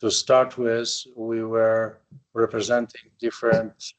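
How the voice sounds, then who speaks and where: An older man from Poland, speaking plainly as a company founder before a board, with a halting manner. His voice stays flat in pitch. The recording sounds dull and lo-fi. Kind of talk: plain spoken presentation. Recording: dull lo-fi meeting audio.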